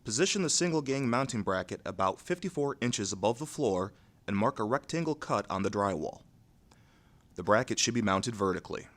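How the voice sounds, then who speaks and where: A man reads scripted instructional narration in a measured, neutral, methodical way, going step by step. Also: fairly low pitch, animated.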